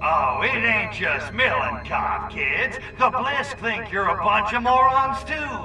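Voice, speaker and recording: A wisecracking alien invader in a video game, very high in pitch and unhurried. He is sarcastic and blustering, heard a little off the mic with loud music behind him. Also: expressive, far from the mic, heavily acted. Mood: exasperated. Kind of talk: video game cutscene acting.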